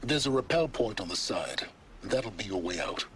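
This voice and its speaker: A man's voice: fairly low pitch, very animated, moderate pace, background noise. An actor voicing a secretive, scheming male guide in a game, speaking in a clipped, dry, commanding way.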